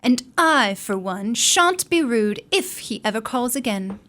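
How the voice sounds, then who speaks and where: An actress playing a defiant, outspoken young gentlewoman in a period radio drama, prim but spirited. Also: on the high side, expressive, measured pace, noisy background. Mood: indignant, defiant.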